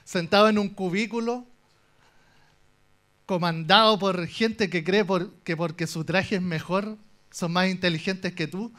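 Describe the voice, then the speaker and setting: A young man with a Chilean accent giving a humorous tech talk on a conference stage, telling the story with jokes and asides. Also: high voice. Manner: animated, joking storyteller. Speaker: conference speaker.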